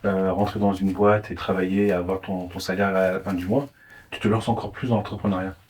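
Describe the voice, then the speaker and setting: A man's voice: low-pitched, little pitch movement, fairly quick, a little mellow. A man speaking casually as an interviewer, in an informal conversational style.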